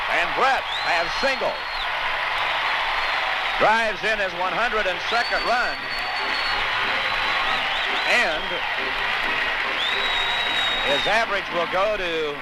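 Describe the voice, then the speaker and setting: An American man calls baseball play-by-play in short punchy phrases, his voice pitched high. A very loud stadium crowd and heavy noise surround him, as on an archive radio broadcast. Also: takes it slowly, pauses often. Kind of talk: live radio play-by-play commentary. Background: roaring ballpark crowd.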